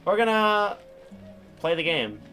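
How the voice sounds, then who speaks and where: A man's voice: high-pitched, big pitch swings, takes it slowly, bright, forceful tone. A man giving casual live commentary as a game streamer, rambling and self-deprecating. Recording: livestream with faint game music.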